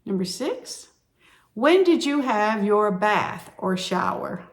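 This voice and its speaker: A woman teaching sign language, explaining each sign slowly and repeating the words as she demonstrates. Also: mid-range voice, very animated. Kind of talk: slow instructional explanation.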